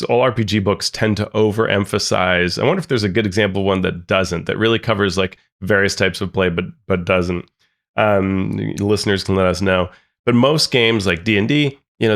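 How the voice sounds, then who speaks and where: A man's voice: lowish voice, fairly bright tone. A man co-hosting a podcast in casual, upbeat chat, his voice thick and congested from a cold. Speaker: podcast co-host.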